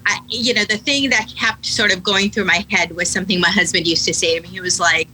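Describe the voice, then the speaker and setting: Bright-voiced American woman, candid and reflective. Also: medium pitch, steady tone, rough recording. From Pakistan, raised in Atlanta. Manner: candid, reflective, many fillers and pauses. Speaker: guest, attorney, nonprofit founder. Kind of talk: conversational interview answers. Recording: faint background noise.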